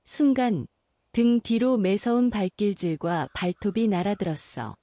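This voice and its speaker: A woman narrating a bedtime fairy tale in a calm, slow and soothing way. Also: mid-range voice, no room sound. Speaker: storyteller.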